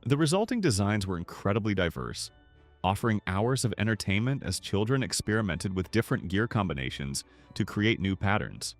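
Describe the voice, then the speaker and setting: A man with a fairly low voice delivers scripted narration in an earnest storytelling manner. His intonation swings up and down in an animated way, and music plays softly behind him. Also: a little fast. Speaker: narrator of a nostalgia video.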